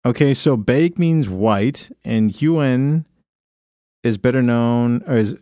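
A man's voice: medium pitch, slow, warm tone, no echo, studio quality. A man hosting a podcast, reading from his phone in a casual, crude way with frequent laughter. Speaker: podcast host. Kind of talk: casual comedic chat.

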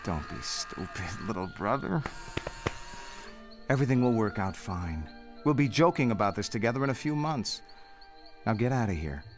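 An actor playing a headstrong young Jedi knight, earnest and intense, in an audio drama. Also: male voice, on the low side, sing-song, normal speed, pauses often, over music. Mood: confident.

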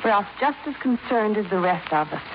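An actress playing a worried, conciliatory wife who gently chides those around her, in 1950s radio drama style. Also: medium pitch, lively intonation, normal speed, close to an everyday voice. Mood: gently chiding. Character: anxious, placating wife of an overbearing rich man. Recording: noisy muffled 1950s radio recording.

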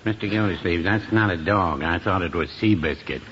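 An actor playing a mild, fussy small-town druggist in a 1950s radio comedy, meek and primly huffy. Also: male voice, low-pitched, normal speed, lightly acted. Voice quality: meek, prim, politely huffy. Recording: muffled old radio broadcast with hiss.

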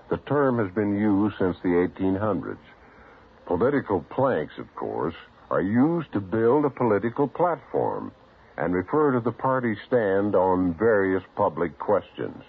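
A man giving measured, scripted narration that explains word origins. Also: low-pitched, vocal fry, slightly rough. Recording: tinny phone-like old recording.

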